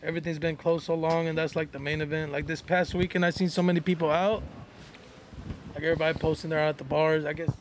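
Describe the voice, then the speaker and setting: A man's voice: on the high side, flat delivery, quick pace, a little hiss or hum. An American man co-hosting a podcast, chatting casually with slang and swearing. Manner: slangy, profane. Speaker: podcast co-host. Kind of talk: casual group chat.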